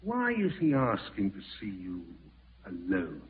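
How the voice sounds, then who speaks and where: On a tinny old radio recording, a domineering, suspicious schemer. His rough voice swings in pitch as he snaps curt orders and probing questions. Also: wildly swooping pitch, measured pace, exaggerated voice. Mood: bossy, menacing. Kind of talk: 1940s radio horror acting. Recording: tinny vintage radio broadcast.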